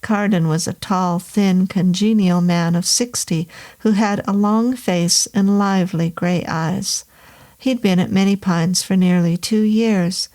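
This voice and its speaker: A woman reading a horror novel aloud as narrator, in a measured, even way. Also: on the low side. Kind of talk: audiobook narration.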